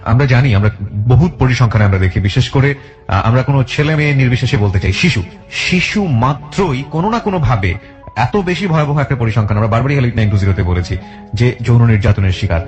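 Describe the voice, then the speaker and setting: A man's voice: mid-range voice, animated, fairly quick, a little mellow. A man hosting a radio show, speaking emphatically to guest and listeners and mixing in English phrases. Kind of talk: interviewing and addressing listeners. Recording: dull lo-fi sound with music underneath. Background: background music.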